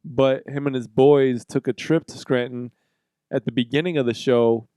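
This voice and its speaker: Male podcast co-host, smooth voice, dry close sound, loose and playful chat with casual swearing. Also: mid-range voice, steady tone, moderate pace, mellow, no room sound. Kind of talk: casual banter.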